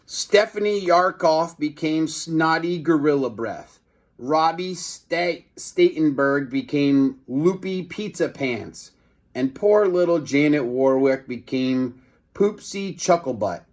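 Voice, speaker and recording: A man's voice: a little high, lively intonation, takes it slowly. A man reading a children's story aloud as narrator, with brief asides to the listeners. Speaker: storybook reader.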